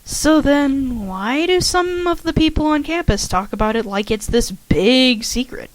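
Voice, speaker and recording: A woman's voice: high voice, animated, a little slow, no echo, pitched above the performer's own voice. A woman reading as a shy, dreamy college girl. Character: shy, daydreaming young woman college student.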